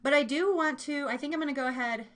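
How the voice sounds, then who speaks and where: A woman's voice: high-pitched, fast talker. An American woman from Kansas hosting a live stream from her room, chatting to listeners in an informal, rambling way with playful slang. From Kansas, United States. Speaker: livestream and podcast host. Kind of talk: casual live chat with listeners.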